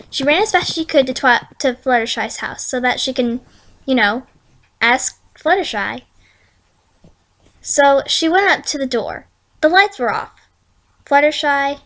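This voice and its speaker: A woman's voice: high voice, fairly bright tone. A woman reading fan fiction as an informal storyteller, conversational and stumbling over words. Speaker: amateur fan fiction reader.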